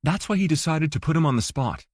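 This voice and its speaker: A man's voice: fairly high pitch, lively intonation, quick pace, dry recording, clean studio sound. A man reading scripted fiction as an audiobook narrator.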